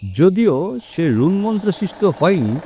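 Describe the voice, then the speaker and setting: A man playing a learned detective, deliberate and theatrical as he expounds in an audio drama. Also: sits high, big pitch swings, mellow, slight background noise. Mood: explaining, solemn. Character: learned male detective solemnly expounding an occult mystery. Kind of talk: Bengali audio story dramatic acting.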